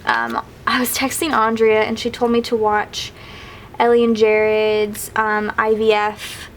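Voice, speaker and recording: A woman's voice: a little high, measured pace, slight background noise. A young woman chatting casually and a bit rambling while she works on her planner, sounding sniffly from crying. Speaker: planner vlogger. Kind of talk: casual craft vlog chat.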